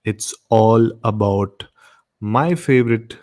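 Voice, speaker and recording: An Indian-accented man presents a late-night horror programme at a slow, deliberate pace. His talk is casual and unscripted, and he circles back over phrases to stress them. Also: on the low side, darkish tone. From Goa, India. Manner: repetitive, emphatic phrasing. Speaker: host of a late-night horror radio show. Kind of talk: casual hosting, unscripted introductions.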